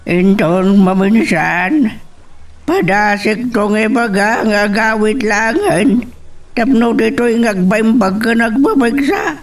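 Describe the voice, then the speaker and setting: A man's voice: high voice, slow pace. A man playing a kindly, easygoing father in a radio drama. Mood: fond, unhurried. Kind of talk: Ilocano radio drama acting. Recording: slightly distant microphone.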